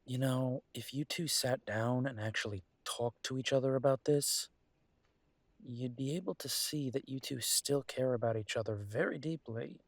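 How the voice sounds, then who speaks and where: A man playing a caring, weary werewolf detective boyfriend in an intimate roleplay audio drama, speaking in an earnest, gently coaxing, conversational way. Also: mid-range voice, moderate pace, no echo, lightly acted. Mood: earnest, exasperated.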